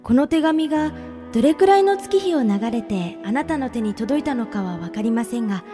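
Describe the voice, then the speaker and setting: A woman playing a dreamy, wistful young letter writer, reading in a gentle, measured and sincere way for a radio drama. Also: a little high, lively intonation, lightly acted. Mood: dreamy, hopeful. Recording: long hall reverb under loud music. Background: prominent music.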